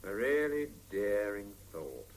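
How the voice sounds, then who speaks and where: Wheedling husband, wildly swinging pitch. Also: male voice, sing-song, takes it slowly, rough recording. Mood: wistful. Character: well-meaning but helpless, self-absorbed young Soviet husband. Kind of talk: 1970s radio drama, naturalistic domestic dialogue. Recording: hissy 1975 radio drama cassette, mono.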